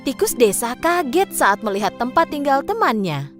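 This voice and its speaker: High, animated woman storyteller. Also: normal speed. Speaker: narrator. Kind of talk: scripted children's story narration. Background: music.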